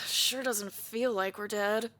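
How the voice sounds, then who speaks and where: A woman's voice: medium pitch, fairly even intonation, takes it slowly, fairly bright tone. A woman voicing a wry, sensible, exasperated young raccoon in an audio drama. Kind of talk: audio drama cartoon acting.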